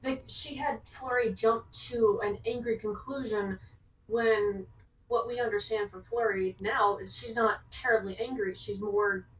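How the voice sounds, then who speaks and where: A woman's voice: on the high side, steady tone, a little slow, fairly bright tone. A woman speaking as a co-reviewer in casual discussion, recorded at a distance from the microphone. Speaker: co-reviewer on a reaction show.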